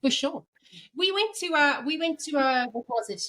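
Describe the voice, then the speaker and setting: Woman cohost, really high bright voice, playful chatty questions. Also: lively intonation, normal speed. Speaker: podcast co-host. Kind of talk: casual interview chat.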